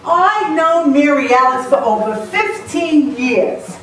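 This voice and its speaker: A woman gives a playful, laughing motivational talk in a high voice that swoops up and down. The sound comes from a distant mic in a very echoey hall with faint noise behind. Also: slow. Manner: lively, playful, often laughing. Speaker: motivational speaker. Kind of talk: humorous storytelling talk to a live audience.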